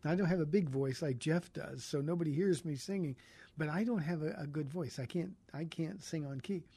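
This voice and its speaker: A pastor hosting a call-in radio program, speaking earnestly and encouragingly to his callers. His voice sits fairly high for a man, with a mellow, relaxed tone. He often stumbles and restarts as he thinks aloud. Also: brisk, husky, dry recording. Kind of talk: call-in radio Bible talk.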